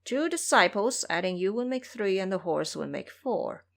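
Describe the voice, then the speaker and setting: A woman's voice: medium pitch, lively intonation, moderate pace, vocal fry. A woman voicing a mighty old male monster king in an audio drama, commanding, boastful and gloating.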